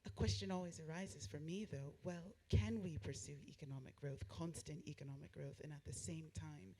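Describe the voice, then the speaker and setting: A woman speaking as a panelist in a discussion. She reasons out loud in a reflective, thoughtful way and poses rhetorical questions. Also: sits low, fairly even intonation, moderate pace.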